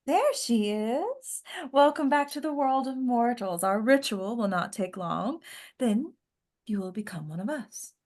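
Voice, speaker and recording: A woman's voice: on the high side, expressive, a little slow, darkish tone. Woman playing a theatrical, sinister ancient madame of horror. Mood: grandly menacing. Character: sinister ancient madame, mother of a cult ritual. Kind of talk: horror script table read.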